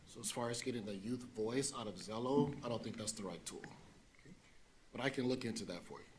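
A man's voice: medium pitch, brisk, a little hiss or hum. A man in his forties, a presenter answering board questions in a plain, explanatory way on a desk mic. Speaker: district program presenter. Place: meeting room. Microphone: desk gooseneck mic.

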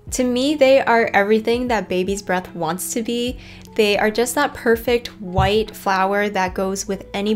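A woman narrating a casual garden tour in a chatty, informal way. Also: medium pitch. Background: background music.

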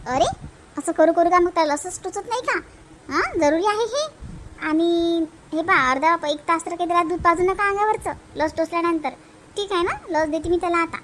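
A woman voicing a brisk village nurse in a cartoon, instructing and mildly scolding, with a Vidarbha Marathi accent. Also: very high voice, a bit bright, slight background noise.

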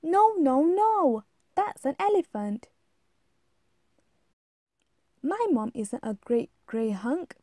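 A woman's voice: high-pitched, animated, mellow, dry recording, studio quality. A woman voicing a fretful, insistent young monkey in a rhyming storybook, plaintive and emphatic. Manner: plaintive, emphatic, drawn-out protests. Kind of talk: rhyming storybook read-aloud.

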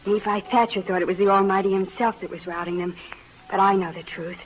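An actress playing a spirited, romantic young frontier bride in an old radio western, speaking with earnest melodrama. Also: female voice, mid-range voice, steady tone, fast, natural voice. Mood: eager, grateful. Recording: tinny, noisy old radio recording.

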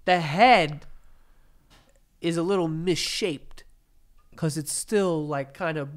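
A man's voice: on the high side, slow. A young man with a slightly congested, nasal voice, chatting and rambling casually as a podcast co-host in a living room on a desk mic. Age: young adult. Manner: rambling, trails off and laughs. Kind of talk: casual chat. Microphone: studio mic on desk stand, headphones.

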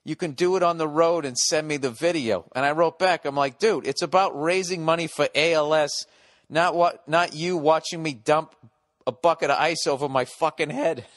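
A middle-aged American man hosting a podcast, ranting sarcastically with lots of swearing, going loud then quiet. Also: fairly high pitch, moderate pace, no room sound, studio quality. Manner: profane, sarcastic ranting, swings loud and soft. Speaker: podcast host. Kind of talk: ranting solo podcast monologue.

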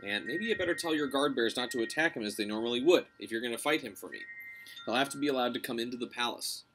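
A man voicing a brave, cunning young girl, coaxing and deferential as she flatters. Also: medium pitch, slight background noise, natural voice, low-quality recording. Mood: earnest persuasion. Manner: coaxing, deferential, quick-thinking.

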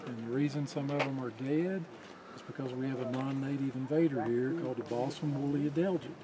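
Patient ranger explaining outdoors. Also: male voice, mid-range voice, fairly even intonation, fairly quick, over a noisy crowd. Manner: informative, patient. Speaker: park ranger. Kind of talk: explaining to a child. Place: mountain overlook outdoors.

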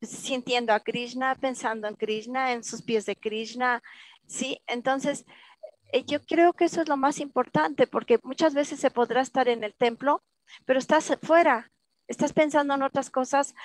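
A woman in her fifties speaks earnestly during an online class, often adding a quick questioning word to check in with the group. Her voice sits fairly high, and the video call sound is dry, with no room echo. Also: steady tone. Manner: earnest, with frequent tag questions. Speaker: class participant. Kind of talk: sharing a personal view in a class. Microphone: laptop webcam mic on a video call.

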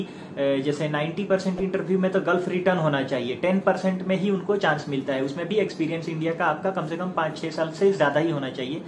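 A man in his thirties speaks in a slightly high voice, explaining things informally as an online presenter. His phrasing is halting, with many filler pauses. The lapel-mic recording is echoey, with clear background noise. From India. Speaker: YouTube presenter giving career advice. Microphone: clip-on lapel mic.

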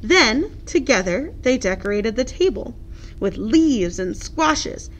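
A woman's voice: on the high side, very animated, slow pace, slight background noise. A woman narrating a children's picture book expressively, as a librarian at story time.

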